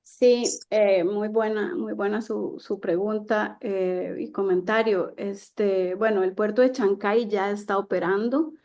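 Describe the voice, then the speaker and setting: Gravelly, mellow-voiced woman lecturer. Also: mid-range voice. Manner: hesitant, many fillers and restarts. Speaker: guest speaker on trade. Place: seated, online talk.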